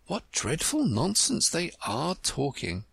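A reader voicing an earnest, polite, sensible young girl who answers carefully and gravely in a storybook. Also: medium pitch, wildly swooping pitch, slow pace, fairly bright tone, dry recording, pitched above the performer's own voice, full character voice.

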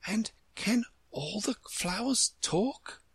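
A woman's voice: fairly low pitch, big pitch swings, normal speed, slightly rough, dry recording, pitched above the performer's own voice, exaggerated voice. A woman voicing a curious, polite young girl who asks questions in a storybook. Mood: timid. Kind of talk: storybook character voice.

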